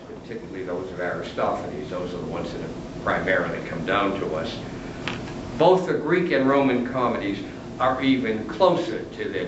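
An older man, a retired literature professor, lecturing at a lectern in a large room, chatty and humorous with chuckles at his own jokes. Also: lowish voice. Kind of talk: lecture with asides. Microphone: camera at the back of the room. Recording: roomy, distant, dull, noisy camera audio.

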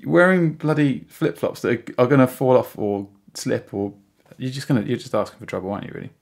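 A man's voice: medium pitch, animated, brisk, gravelly. A British man of about forty, a podcast guest chatting casually in a rambling way. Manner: rambling, frequent "you know". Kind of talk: casual chat.

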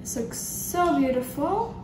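Teen girl home-cooking host, high-pitched, enthusiastic, echoey kitchen room. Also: animated, takes it slowly, background noise. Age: teens. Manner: bubbly, chatty, enthusiastic. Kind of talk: casual cooking demo.

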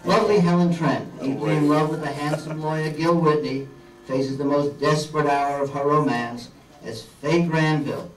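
A man's voice: a little high, lightly acted. An older man playing a dramatic announcer of an old-time radio soap opera. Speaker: amateur radio play announcer. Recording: echoey room from far off.

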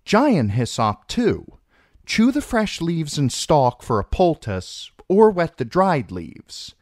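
A man's voice: a little high, very animated, slow pace, a little mellow, no echo, clean studio sound, pitched above the performer's own voice. A man voicing an earnest young girl pupil in a storybook, reciting carefully. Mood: eager, recalling. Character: earnest young girl learning herbal healing.

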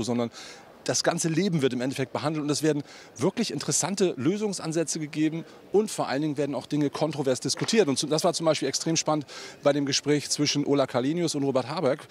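A middle-aged man with a slightly high voice works a busy convention hall as reporter, speaking into a handheld mic. He talks in a chatty way, leaning on fillers as he steers his questions. A faint murmur of noise sits under his voice. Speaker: event reporter and host. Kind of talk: on-site event interviews and wrap-up to camera. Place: large indoor convention hall. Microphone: handheld microphone.

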